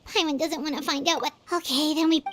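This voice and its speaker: A woman voicing a small childlike fairy companion in a video game, squeaky, earnest and anxious, with emotive delivery. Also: fairly even intonation, brisk, a bit bright, a little hiss or hum. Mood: worried, thinking. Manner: refers to self in third person, emotive. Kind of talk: video game character acting.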